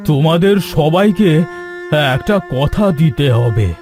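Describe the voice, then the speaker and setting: A man's voice: fairly high pitch, animated, over music. A man voicing a dying old father in a children's cartoon, speaking in an earnest, pleading, solemn way. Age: older. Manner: slow, earnest entreaty.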